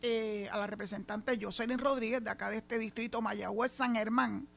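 Puerto rican lady hosting a radio show, middle-aged, says it straight, voice sits a bit high. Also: steady tone, brisk, vocal fry, a bit bright. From Puerto Rico. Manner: outspoken, emphatic, laughs easily. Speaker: radio host. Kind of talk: casual on-air radio chat. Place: radio studio.

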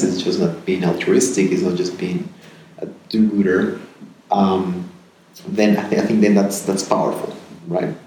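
Deep-voiced man in an echoey room. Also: warm tone. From Peru. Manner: thoughtful, repeats words and tags sentences with "right?". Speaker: podcast guest. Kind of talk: conversational interview answers. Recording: very echoey room, faint ambient noise.

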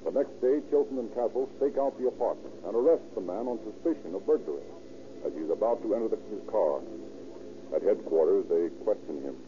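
An actor as a formal, serious narrator of an old radio police drama, with a dark, mellow voice. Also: male voice, on the low side, expressive, brisk, a little hiss or hum, close to an everyday voice. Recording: muffled old radio recording.

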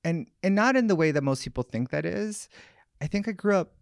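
A man's voice: high-pitched, expressive, a little fast, gravelly, no room sound, clean studio sound. A man from Hawaii, a podcast guest telling his life story in a candid, reflective way. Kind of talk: personal storytelling interview.

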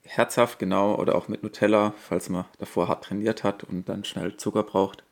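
Gravelly-voiced man with light Swabian accent. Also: lowish voice, moderate pace. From Swabia, Germany. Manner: relaxed, chatty, frequent fillers. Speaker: podcast co-host. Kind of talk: casual chat.

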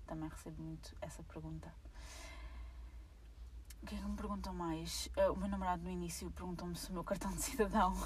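A young woman with a European Portuguese accent talks through her own podcast, a little lower-pitched than most women. She rambles, restarts and fills pauses with "ahm" as she goes. A faint hiss of noise sits under her voice. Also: darkish tone, rough recording. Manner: rambling, hesitant, many fillers and restarts. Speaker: podcast host. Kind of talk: casual solo podcast monologue.

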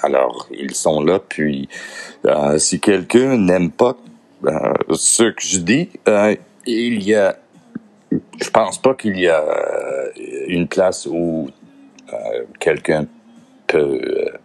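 Deep-voiced, halting man. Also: vocal fry, a little mellow. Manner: halting, searching for words in a second language. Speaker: podcaster. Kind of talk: casual solo podcast monologue.

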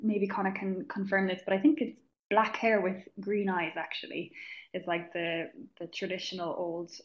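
A woman's voice: mid-range voice, flat delivery, normal speed. A young Irish woman, a pharmacy student, chatting as a guest on a video call. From Ireland. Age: young adult. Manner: chatty, laughs easily. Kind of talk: casual interview chat. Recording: dull lo-fi call audio.

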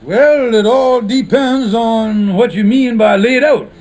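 A strikingly high-voiced, scheming lodge leader in a vintage radio sitcom. He hems and dodges in folksy, ungrammatical phrases, full of sheepish excuses. The old broadcast recording is clearly noisy. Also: slow, darkish tone. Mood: sheepish, evasive. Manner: hesitant, evasive, folksy ungrammatical speech. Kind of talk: 1950s radio sitcom acting.

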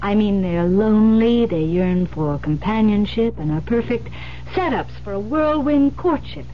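An actress playing a composed, grave police detective, explaining deliberately with pauses in old-time radio drama style. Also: female voice, medium pitch, expressive, natural voice. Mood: measured, insistent. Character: composed, grave female police detective breaking bad news. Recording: muffled vintage radio recording with hiss.

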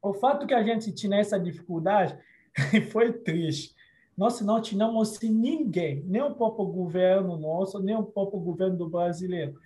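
Interviewee: man from Congo, non-native speaker, high mellow voice, earnest storyteller. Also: normal speed. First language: not Portuguese. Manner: earnest storytelling with frequent restarts and soft laughs. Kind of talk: personal life story in an interview.

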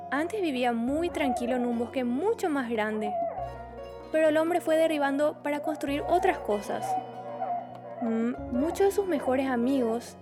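High-voiced gentle moon girl played by a woman. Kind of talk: audio drama acting.

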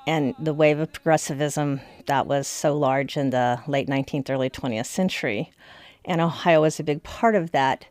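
Female academic interviewee, notably low voice, thoughtful and emphatic, dry recording. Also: normal speed, darkish tone, dry recording. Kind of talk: explanatory interview commentary.

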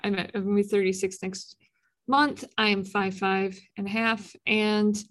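A woman's voice: medium pitch, fairly even intonation, moderate pace, a bit bright. A woman in her thirties hosting a casual faith podcast, chatty, laughing often and saying "like" a lot. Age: 30s. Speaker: podcast host. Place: in a room with a co-host, in person.

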